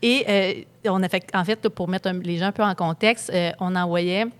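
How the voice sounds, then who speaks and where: A woman's voice: lowish voice, steady tone, moderate pace, clean tone. A woman with a Québécois accent hosts an interview, talking in a hesitant way with many fillers and restarts. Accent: Québécois French. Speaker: interview host. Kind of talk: conversational interview.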